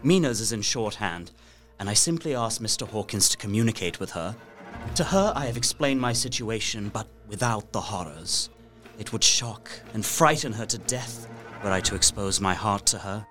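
Earnest young captive gentleman, music underneath. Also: on the low side, animated, moderate pace. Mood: guarded, determined. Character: anxious, resourceful young Englishman held captive in a castle. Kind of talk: audio drama journal narration.

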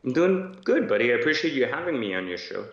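A man's voice: mid-range voice, big pitch swings, quick pace. An Iran-born man guesting on an interview podcast, giving long rambling answers full of fillers and restarts. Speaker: guest entrepreneur. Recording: echoey, dull remote audio.

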